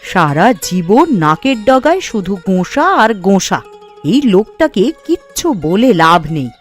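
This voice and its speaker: A woman's voice: medium pitch, moderate pace, soft background music, lightly acted. A woman playing a kind-hearted but firm village housewife in a cartoon. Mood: firm, chiding. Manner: expressive, chiding. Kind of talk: Bengali fairy-tale cartoon dialogue.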